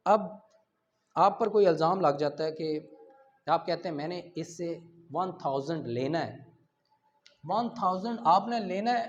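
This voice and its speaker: A man with a Pakistani accent giving a law lecture, reading formal text aloud and explaining it point by point. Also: sits high. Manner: explanatory, mixing English legal terms with Urdu. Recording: dull, muffled lo-fi audio.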